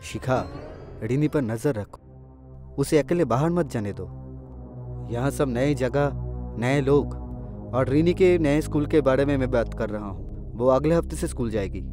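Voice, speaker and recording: A man's voice: medium pitch, a little slow, long pauses, over music, close to an everyday voice. A man voicing an earnest middle-class father in a Hindi cartoon story. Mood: concerned.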